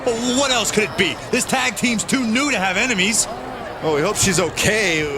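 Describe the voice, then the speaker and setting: A man's voice: high-pitched, normal speed, music in the background, a crowd in the background. A man playing a wrestling commentator in a video game, talking in a broadcast style. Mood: concerned. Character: concerned male wrestling commentator.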